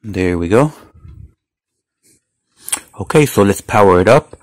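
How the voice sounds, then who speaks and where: A man's voice: fairly low pitch, expressive, takes it slowly, a little mellow. A man casually narrating a gadget demonstration as a reviewer, unhurriedly explaining each step aloud. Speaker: product reviewer. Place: indoors at home. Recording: faint background noise.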